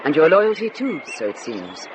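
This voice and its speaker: An actress playing a commanding older nun in a radio drama, brisk and dryly wry, kindly underneath. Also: female voice, low-pitched, very animated. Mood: calm, firm. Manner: brisk, dry, authoritative. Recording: noisy ambience behind dialogue.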